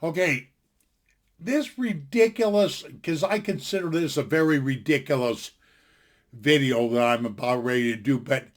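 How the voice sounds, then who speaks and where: A man's voice: mid-range voice, moderate pace. A man in his sixties talking casually from his home into a desktop mic, giving a blunt, folksy, opinionated rant that rambles and restarts often. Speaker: vlogger. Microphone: desktop computer mic.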